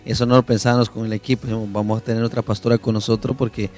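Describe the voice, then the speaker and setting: A man's voice: fairly low pitch, level tone, fast, darkish tone, faint music behind. A man hosting a church interview program, deferential and effusive toward his guest. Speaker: interview host. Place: church media studio.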